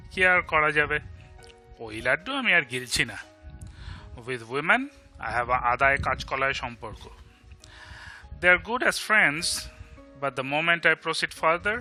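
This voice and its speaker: Man acting blunt, sarcastic Bengali bachelor for audio drama; very bright, forceful voice, extremely quick, faint music behind. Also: fairly high pitch. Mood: wry. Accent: Indian (Bengali). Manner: sarcastic, emphatic, switches between English and Bengali. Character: blunt, sardonic, loyal bachelor friend, Indian man.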